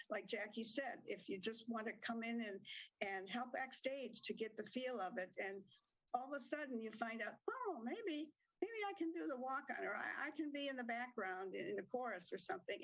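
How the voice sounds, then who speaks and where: A woman's voice: on the high side, vocal fry, lo-fi sound. A woman theater director answering interview questions in a hesitant way, with many fillers.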